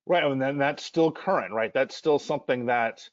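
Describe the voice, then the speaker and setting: A man hosting a relaxed interview over a video call, asking questions loosely and restarting often. His sound is dry and close, with a dull, lo-fi quality. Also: mid-range voice, moderate pace, dry recording. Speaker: host. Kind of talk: casual interview.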